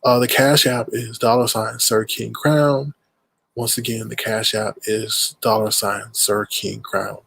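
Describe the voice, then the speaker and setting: A man's voice: on the low side, flat delivery, a little slow, creaky, fairly bright tone, husky, no room sound. Stuffy-nosed man hosting a livestream. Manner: rambling, greets chatters mid-sentence. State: stuffed-up nose. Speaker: livestream host. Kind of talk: casual livestream talk to chat.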